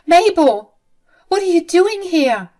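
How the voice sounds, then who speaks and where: A woman's voice: fairly high pitch, expressive, unhurried, a bit bright. A woman voicing a young bride who is protective and indignant, speaking emphatically. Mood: worried, outraged. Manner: emphatic and outraged.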